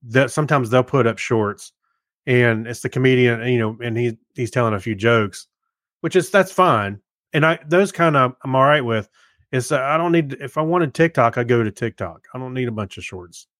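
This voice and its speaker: A man talks casually as a podcast co-host in a very dry recording. His delivery is informal and a little halting, with thoughts reworked as he goes, at an ordinary male pitch. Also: fairly bright tone, dry recording. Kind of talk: casual chat.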